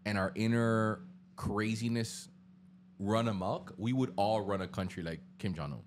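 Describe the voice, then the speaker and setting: A man's voice: on the low side, normal speed, slight background noise. A man co-hosting a podcast in casual slangy chat, laughing often and explaining things at length. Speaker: podcast co-host.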